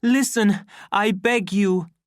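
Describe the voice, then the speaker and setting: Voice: mid-range voice, slow pace, dry recording, studio quality, pitched above the performer's own voice, close to an everyday voice. A reader playing a fervent, ringing young male idealist. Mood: desperate pleading. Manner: declamatory, loud and ringing. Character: impassioned young male outsider, naive idealist, fervent and desperate.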